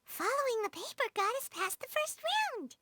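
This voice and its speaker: Squeaky, very high little fairy companion. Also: female voice, lively intonation, moderate pace, pushed and bright, exaggerated voice. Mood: curious. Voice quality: squeaky, childlike. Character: tiny childlike fairy companion, nervous and curious. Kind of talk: video game voice acting.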